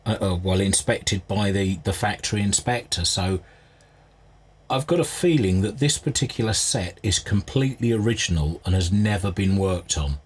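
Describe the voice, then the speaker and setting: A man giving an unscripted, hands-on inspection commentary as a restorer, thinking aloud in a measured, understated way. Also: fairly low pitch, lively intonation, breathy edge. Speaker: vintage radio restorer presenting a repair video.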